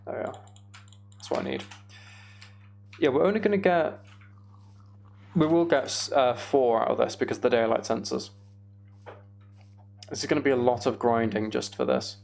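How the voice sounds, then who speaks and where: A man's voice: medium pitch, big pitch swings, normal speed, gravelly, long pauses, darkish tone, a little hiss or hum. A man streaming a video game, chatting casually and thinking aloud as he works things out. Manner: muttering to himself while working things out. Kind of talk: casual live gameplay commentary, thinking aloud.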